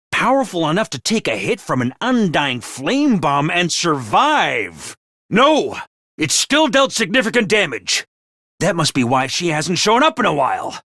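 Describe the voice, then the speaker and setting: A chipper commanding officer of young soldiers sounds high, bright and sharp, with a bouncy, lively lilt. He rallies with drill-sergeant cheer that hides a cold, ruthless streak. The studio sound is dry and close. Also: no room sound, exaggerated voice. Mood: briefing. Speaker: voice actor for a video game character. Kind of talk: game character voice lines.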